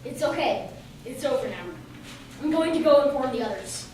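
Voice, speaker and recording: A woman acting as a calm, confident male police detective in an amateur radio drama. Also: high-pitched, moderate pace. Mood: concerned. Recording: very echoey hall, distant mic, clear noise.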